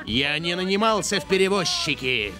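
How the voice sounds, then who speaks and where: A proud, earnest cartoon eagle hero. He speaks in a slightly raised, commanding voice. Loud music plays under him. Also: sits high, big pitch swings, moderate pace. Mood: determined.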